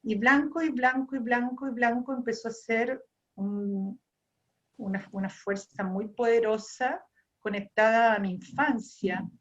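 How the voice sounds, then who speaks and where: A woman's voice: a little high, a little slow. Reflective Argentine woman, slightly muffled. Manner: thinks aloud, repeats and restarts phrases. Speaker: ceramic artist guest. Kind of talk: reflective personal storytelling.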